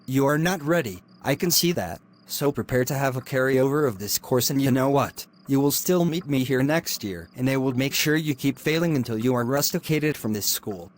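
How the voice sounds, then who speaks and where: Guy doing a creepy middle-aged lecturer, low hushed menace. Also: expressive, brisk, few pauses, natural voice. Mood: threatening. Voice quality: low, quiet and menacing delivery. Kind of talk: amateur drama acting.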